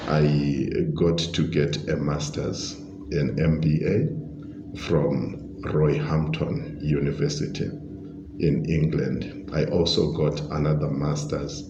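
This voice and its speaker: Very deep, slow Zimbabwean man. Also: flat delivery, noisy background. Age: middle-aged. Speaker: podcast guest, leadership coach and pastor. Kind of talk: conversational interview answers. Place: restaurant. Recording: dull, roomy, echoing sound.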